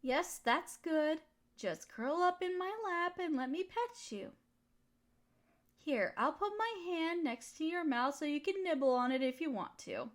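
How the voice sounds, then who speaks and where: A woman's voice: sits high, lively intonation, measured pace. A woman playing an affectionate, teasing girlfriend in an intimate comfort roleplay, soothing and cooing as she pampers her partner. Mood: cooing, playful. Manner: cooing, soothing pet-talk. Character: affectionate, teasing, nurturing girlfriend of a fox spirit. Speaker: audio roleplay voice performer.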